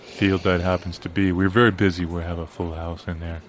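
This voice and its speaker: An actor playing a politely unyielding small-town server, understated and apologetic, in improvised audio comedy. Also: male voice, sits low, flat delivery, a little fast, natural voice. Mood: apologetic, puzzled.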